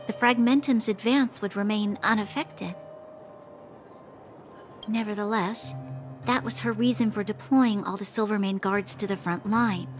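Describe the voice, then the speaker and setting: An actress playing an earnest, dutiful young female officer in a video game, speaking in a measured, formal, reflective way. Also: mid-range voice, close to an everyday voice. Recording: faint music underneath.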